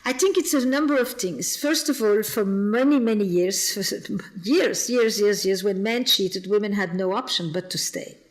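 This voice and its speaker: A woman's voice: mid-range voice, moderate pace. A woman from the Flemish part of Belgium with a Flemish accent, speaking thoughtfully as a guest therapist on a podcast. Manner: reflective, repeats words while thinking. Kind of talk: podcast interview.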